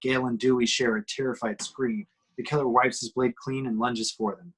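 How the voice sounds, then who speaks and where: A man's voice: mid-range voice, little pitch movement, normal speed, a bit bright, slightly rough, poor audio. A man reading screenplay action descriptions aloud at a table reading.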